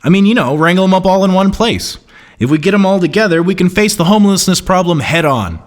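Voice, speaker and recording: A man's voice: high-pitched, expressive, quick pace. An actor playing a brash, overconfident man with grand schemes in a comedy sketch. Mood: confident. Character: brash, scheming, overconfident male civic crusader.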